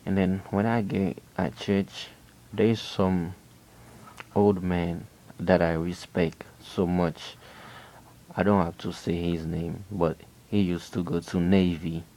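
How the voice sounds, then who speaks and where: A man from Haiti with a Haitian accent, telling a personal faith testimony in an earnest, halting way with frequent restarts. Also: low voice, steady tone, dark tone, poor audio. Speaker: person giving a religious testimony.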